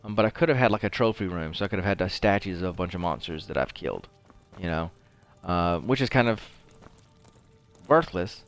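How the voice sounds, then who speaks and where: A man's voice: low voice, lively intonation, brisk, soft background music. A man giving casual gameplay commentary, rambling and conversational as he thinks aloud. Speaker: host of a video game playthrough show.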